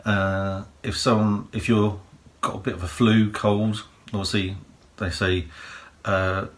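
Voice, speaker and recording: A man with a croaky voice, hosting a prepping channel and giving rambling practical advice full of fillers and "you know"s. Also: fairly low pitch, level tone, takes it slowly. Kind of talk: casual advice monologue.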